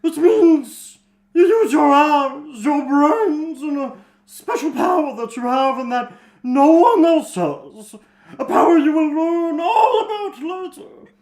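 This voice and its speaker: A woman voicing a grand, flighty, ageless sorceress teacher with a dramatic, drawn-out delivery. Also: high-pitched, lively intonation, darkish tone, pitched above the performer's own voice, full character voice. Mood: grand, instructive. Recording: roomy, echoey home recording.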